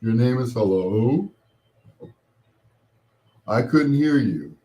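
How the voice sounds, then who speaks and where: A man hosting a casual call-in radio show, talking in a wry, exasperated, drawn-out manner. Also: medium pitch, expressive, warm tone. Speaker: radio talk show host.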